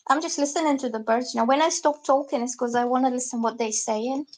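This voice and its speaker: High-voiced woman reading tarot. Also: steady tone, brisk. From Bulgaria. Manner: chatty, loose and rambling. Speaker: tarot reader. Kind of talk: live-stream tarot reading.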